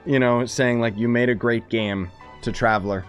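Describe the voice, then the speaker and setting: A man's voice: medium pitch, fairly even intonation, normal speed, faint music behind. A man gives casual, blunt, grumbling commentary as a gaming streamer playing through a game.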